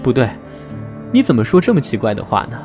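A male actor plays a guarded young man in a modern audio drama. His pitch is mid-range but moves a lot, earnest and gently firm, with soft music underneath. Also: very animated, fast talker. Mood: agitated, insistent.

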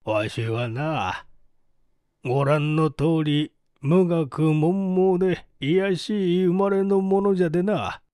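Humble, doting old country farmer played by a male reader, very slow rustic delivery, close dry sound. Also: fairly high pitch, darkish tone, no room sound. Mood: humble, wishful. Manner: rustic old-man speech, slow and deliberate. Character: humble, doting old village landowner, self-made farmer. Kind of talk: audiobook character voice.

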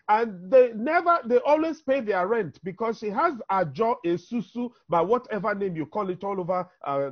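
A middle-aged man with a Nigerian accent speaks as a panelist in an online discussion. His voice sits high and swings widely in pitch as he lays out his points in an emphatic, teacherly way. The sound is dull, like a low-quality video call. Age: 50s. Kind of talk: impassioned speech in an online town hall discussion. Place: indoor room, on a video call.

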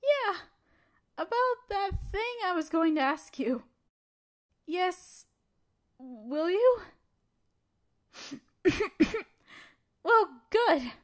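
A woman playing an awkward, earnest teenage girl student council president in a romantic audio roleplay, halting and bashful as she talks. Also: extremely high pitch, full character voice. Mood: happy, lovestruck. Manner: halting, bashful, breaking off mid-sentence. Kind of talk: romantic audio roleplay acting, one-sided dialogue. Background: rain sounds.

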